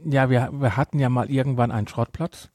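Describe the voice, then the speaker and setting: A man's voice: mid-range voice, steady tone, fairly quick, a little mellow, dry recording, studio quality. A middle-aged man hosting a podcast, talking casually and giving his opinions with emphatic repetition. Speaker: podcast host.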